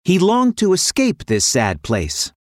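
A woman reading a scripted children's fairy tale as an expressive storyteller. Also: lowish voice, measured pace, dry recording, studio quality. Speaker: narrator.

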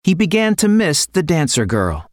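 Expressive woman storyteller, animated pitch. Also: moderate pace, no room sound, clean studio sound. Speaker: storyteller, podcast narrator. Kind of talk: scripted children's story narration.

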